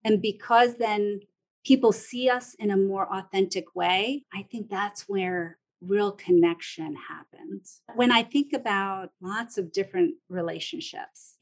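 A woman's voice: mid-range voice, measured pace. A woman explaining her thoughts conversationally, as an interview guest.

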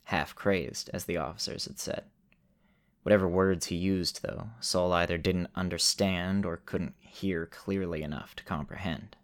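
Male fantasy narrator, low register, dramatic scripted delivery, deliberate phrasing and weighted pauses. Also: fairly even intonation.

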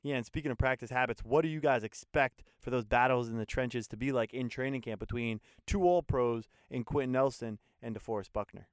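A young podcast host from New Jersey asks his prepared questions quickly in a smooth, clear voice. The sound is close and dry, with no room around it. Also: mid-range voice, dry recording. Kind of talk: scripted interview questions.

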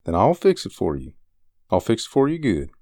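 A woman voicing a tired, hungry drifter man, a vague but proud handyman who talks in low, halting short phrases. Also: sing-song, mellow, no echo, clean studio sound, full character voice. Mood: bargaining.

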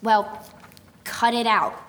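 A precocious young girl inventor, played by a woman, proud and prickly in a radio play. Her voice is fairly high and emphatic, ringing with long reverb. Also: flat delivery, takes it slowly, fairly bright tone. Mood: wary, prickly. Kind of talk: radio drama acting. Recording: reverberant student radio drama recording.

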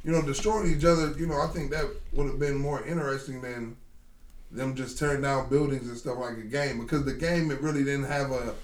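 A man co-hosting a podcast in casual chat, profane and loud, breaking into shouts and laughter when excited. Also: mid-range voice, brisk, echoey room, poor audio. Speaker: podcast co-host.

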